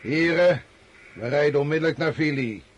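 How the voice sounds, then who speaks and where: An actor in a Dutch radio drama playing a weary, brooding old Russian field marshal, speaking with deliberate authority. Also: male voice, a little high, dull audio, over music, lightly acted. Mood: firm, decisive. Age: older.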